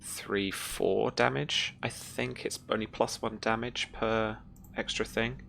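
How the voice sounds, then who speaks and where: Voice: medium pitch, steady tone, slow pace, gravelly, fairly bright tone, slight background noise. A game master narrating a tabletop role-playing game in a conversational, descriptive way.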